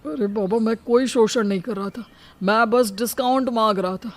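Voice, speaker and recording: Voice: medium pitch, moderate pace, no echo, lightly acted. A performer voicing a whining, defensive grown son who pleads and justifies himself in a cartoon family story. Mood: pleading. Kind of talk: cartoon moral story acting.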